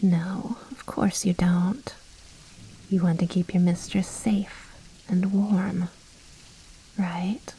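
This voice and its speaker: A woman playing a commanding, possessive vampire noblewoman in an intimate ASMR roleplay, speaking in breathy whispers with a haughty, coaxing, softly menacing manner. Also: on the low side, flat delivery, slow pace, pauses often, heavily acted, low-quality recording. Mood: seductive, controlling.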